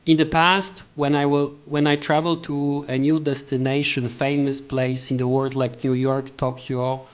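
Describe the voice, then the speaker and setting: A middle-aged man from Europe with a European accent, speaking English as a non-native language, recalling his travels in a plain, halting way for a vlog. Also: mid-range voice, little pitch movement, highs cut off. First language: not English. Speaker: vlogger. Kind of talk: personal travel recollections to camera.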